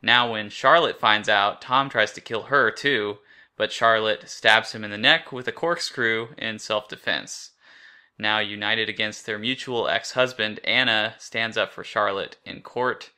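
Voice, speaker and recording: A man's voice: fairly low pitch, measured pace, sharp tone, smooth. A man co-hosting a podcast, recapping and discussing a story in an informal way. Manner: informal, rambling, frequent "like". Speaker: podcast co-host.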